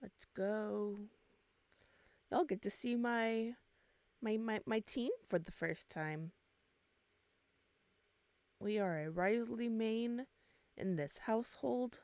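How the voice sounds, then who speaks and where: A woman's voice: on the high side, slow, pauses often, no echo. A woman doing casual live gameplay commentary as a streamer, chatty and thinking aloud. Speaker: game streamer. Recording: loud game music behind. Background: video game music and dialogue.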